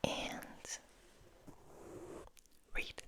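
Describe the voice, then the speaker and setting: A woman hosting ASMR, speaking in a gentle, intimate, breathy whisper at an unhurried pace. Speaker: ASMR host. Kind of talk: soft-spoken whispered ASMR.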